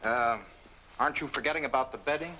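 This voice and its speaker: An actor playing a hot-headed, blunt young American bridegroom in a 1940s film noir, on an old soundtrack. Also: male voice, medium pitch, fast talker, pushed and bright, dull audio, a little hiss or hum, lightly acted. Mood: earnest, polite. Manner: insistent, blunt. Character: hot-headed young bridegroom searching for his missing fiancée.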